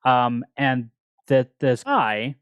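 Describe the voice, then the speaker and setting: A man's voice: mid-range voice, animated, drawn-out words, a bit bright, clear, resonant tone, dry recording, studio quality. A man speaking casually as a book club podcast panelist, retelling and discussing a story.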